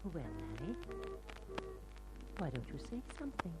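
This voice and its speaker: An actress playing an unhappy, yearning gangster's girlfriend in an old-time radio melodrama, breathy and melodramatic. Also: low-pitched, huge pitch swings, measured pace, exaggerated voice, low-quality recording. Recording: old radio recording, music behind.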